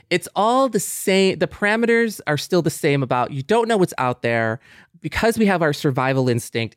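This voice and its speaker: A man's voice: mid-range voice, no room sound. Man thinking aloud, dry close sound. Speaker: podcast co-host. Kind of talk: casual reflective discussion.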